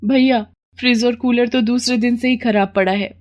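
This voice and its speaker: A woman voicing a humble poor housemaid and mother, meek and gentle, in a moral story. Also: fairly high pitch, steady tone, moderate pace, pitched above the performer's own voice, lightly acted. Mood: meek, sad.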